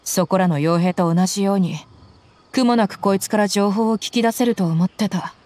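A woman's voice: mid-range voice, moderate pace, darkish tone, no room sound, lightly acted. An actress playing a tough, confident young woman mercenary in a Japanese anime game, with blunt, intimidating phrasing. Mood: rueful, serious.

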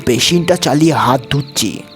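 A frightened male diner played by a man tells his haunted hotel tale in a horror audio drama. His voice sits at a middle pitch, with music running underneath. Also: moderate pace, a bit bright, lightly acted. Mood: suspenseful narration. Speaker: story narrator and lead actor.

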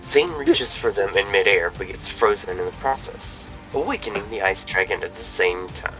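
Low-voiced man narrating, music behind. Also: expressive, moderate pace, a bit bright, poor audio. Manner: stumbles over words and laughs at slips. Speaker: narrator in a fan table read. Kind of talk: reading stage directions aloud. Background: background music.

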